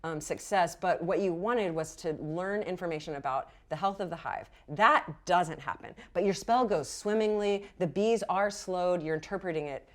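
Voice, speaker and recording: A woman's voice: on the low side, moderate pace, hardly any pauses. A woman game master narrating and explaining rules off the cuff in an encouraging way. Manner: encouraging, affirming.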